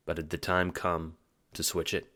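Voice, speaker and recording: Low-pitched man narrating an audio drama. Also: completely flat delivery, moderate pace, sharp tone. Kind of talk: scripted storytelling narration.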